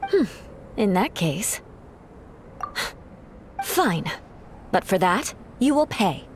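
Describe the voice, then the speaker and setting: A woman playing a proud, composed young noblewoman knight in a video game, speaking formally and with measured reserve. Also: mid-range voice, very animated, background noise. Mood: cool, haughty.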